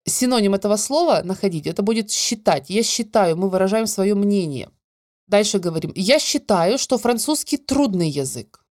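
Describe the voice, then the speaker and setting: A woman teaches French grammar in a clear, measured way, drawing out the example words. Her voice sits at a middle pitch. The sound is dry and close, with no room echo. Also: animated, a little mellow. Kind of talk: scripted grammar lesson narration.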